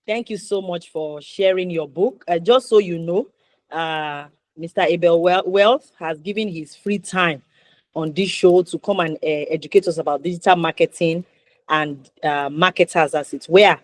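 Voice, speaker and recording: A woman's voice: lowish voice, normal speed. A woman with a Nigerian accent hosting a conversational interview show, encouraging and emphatic, repeating points for stress. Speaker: talk show host.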